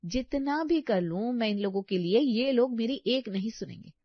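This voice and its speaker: A woman's voice: on the high side, animated, moderate pace, no echo, muffled recording, pitched above the performer's own voice. A woman voicing a thrifty, exasperated housewife who complains and bargains emphatically in a comedy story. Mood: grumbling. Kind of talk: animated comedy story dialogue.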